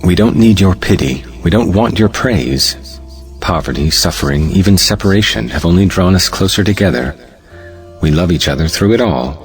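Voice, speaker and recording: An earnest, proud family man acted by a man speaks with solemn devotion in a stage drama. His voice is extremely deep, with music behind it. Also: expressive, normal speed. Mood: proud, emotional. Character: earnest, proud, devoted husband and father of modest means. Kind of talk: stage play audiobook acting.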